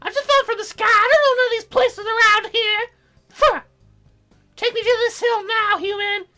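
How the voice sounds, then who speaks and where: A man putting on a squeaky, bratty fairy girl. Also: fairly even intonation, moderate pace, pushed and bright, faint music behind, exaggerated voice. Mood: indignant, bossy. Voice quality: squeaky falsetto. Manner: petulant, demanding. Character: bossy, bratty young cloud fairy girl, easily offended.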